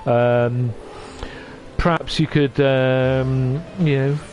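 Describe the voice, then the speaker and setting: A man from North Wales streaming from his sofa at home. He chats gently with his viewers and often stops and restarts. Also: medium pitch, fairly even intonation, drawn-out words, dull audio, a little hiss or hum. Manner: gentle, hesitant, with many restarts. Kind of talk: casual chat reading out viewer comments.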